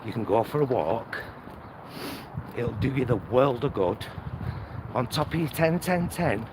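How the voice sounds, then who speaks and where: A man's voice: mid-range voice, expressive, unhurried. A British man talking casually to a vlog audience while out walking, in a reflective, hesitant, self-deprecating way. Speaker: vlogger. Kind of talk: casual walk-and-talk vlog. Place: outdoors, walking along streets. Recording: windy outdoor recording, loud traffic noise. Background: wind on mic, vehicles, urban ambience.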